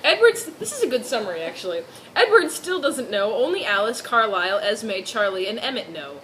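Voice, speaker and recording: A woman's voice: on the high side, very animated, a little fast, bright, forceful tone, a little hiss or hum, low-quality recording. A woman doing a mock-serious dramatic reading of fan fiction, dropping in amused asides.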